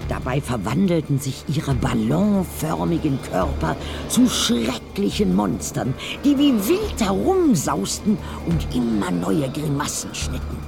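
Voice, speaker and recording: Guy narrating an audio play, very expressive storyteller, bit gravelly, music underneath. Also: a little high, a little slow, hardly any pauses. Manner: dramatic, expressive.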